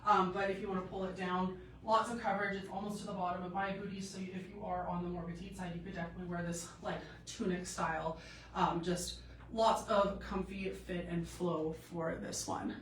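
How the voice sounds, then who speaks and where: A woman's voice: lowish voice, level tone, fairly quick, slight background noise. Woman host, nasal and congested, rambling casual try-on chat, mic set back in a roomy space. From Canada. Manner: chatty, rambling with many fillers. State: stuffy-nosed from a cold. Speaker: boutique live-stream host. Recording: echoey room, mic at a distance.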